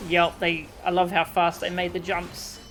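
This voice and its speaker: Woman gamer chatting on stream, kinda drawn out pace, playfully grumpy and expressive, game music going behind her. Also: on the low side, unhurried. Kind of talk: casual live game commentary, reacting. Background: game music and character voice lines.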